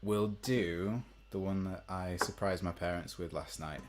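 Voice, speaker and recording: A young man with a deep voice, chatting casually to live-stream viewers between songs, rambling with many ums. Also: unhurried, slight background noise. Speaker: musician hosting a live stream. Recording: faint guitar behind.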